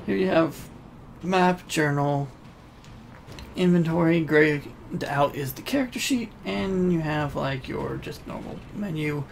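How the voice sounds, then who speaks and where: A man's voice: on the high side, a little slow, creaky. A man giving casual, informal let's play commentary, rambling a little and thinking aloud as he explains things. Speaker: gaming video host. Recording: noisy voice-over over game ambience. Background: video game sounds and music.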